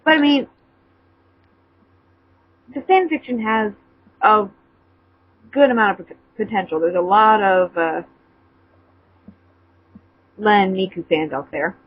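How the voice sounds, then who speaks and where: A woman's voice: medium pitch, slow pace, long pauses, slightly rough, dry recording, muffled recording. A woman giving a casual review as a co-reader, hesitantly searching for words.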